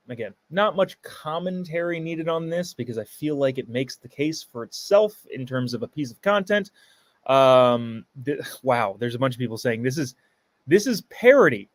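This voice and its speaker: A man's voice: medium pitch, no echo. A man hosting a live show, giving sarcastic commentary on a clip and reading viewer comments aloud.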